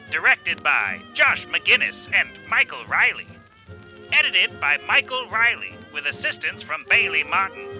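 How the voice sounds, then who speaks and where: A male narrator reading out the closing lines and credits in a measured announcer style. His voice is very bright and high, and he takes his time. Faint music plays beneath him. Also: low-quality recording.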